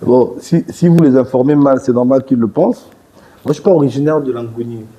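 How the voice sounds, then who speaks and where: A man's voice: medium pitch, fairly quick, dark tone, low-quality recording. A man from Gabon, a party leader, answering pointed questions in a political TV interview, assertive and growing emphatic as he argues. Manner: assertive, rising emphatic when arguing.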